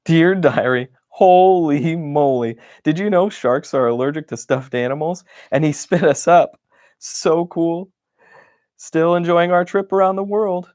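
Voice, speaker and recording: A man's voice: fairly high pitch, a little slow, a little mellow, no echo, clean studio sound, pitched above the performer's own voice, natural voice. A man voicing a cheerful, happy-go-lucky wooden stick who reads his diary with bubbly enthusiasm. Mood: excited.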